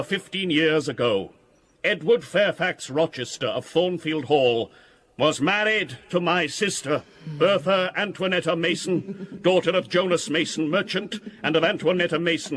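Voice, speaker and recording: A cool formal solicitor. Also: male voice, a little high, expressive, fairly bright tone. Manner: formal, measured declarations. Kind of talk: radio drama acting.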